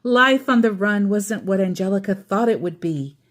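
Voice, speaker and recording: A woman's voice: mid-range voice, normal speed. A woman reading a children's story aloud expressively, with casual asides along the way.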